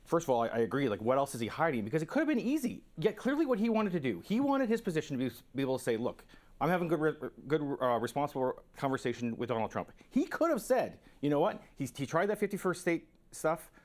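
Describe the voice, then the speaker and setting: Fast, animated male pundit. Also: on the high side. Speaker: political strategist, panelist. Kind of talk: spontaneous political commentary.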